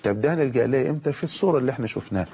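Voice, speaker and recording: A man with an Egyptian accent, a pediatric surgeon with a cold, giving a calm medical explanation with pauses and fillers. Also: medium pitch, husky. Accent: Egyptian Arabic. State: has a cold.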